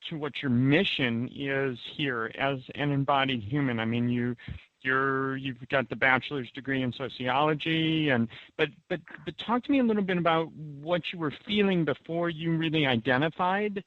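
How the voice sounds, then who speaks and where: A man hosting a radio interview, asking questions in a halting way with frequent restarts. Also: medium pitch, no room sound. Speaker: radio host. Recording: dull lo-fi radio audio.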